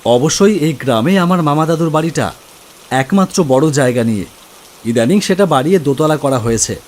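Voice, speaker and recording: A man narrating a scripted ghost story in the first person, with an expressive, suspenseful delivery. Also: fairly high pitch, fairly quick, a little hiss or hum. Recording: music bed under the voice. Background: background music.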